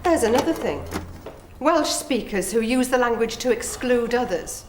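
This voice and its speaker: A woman's voice: a little high, animated, normal speed, noisy background, lightly acted. A woman in a British TV drama complaining indignantly.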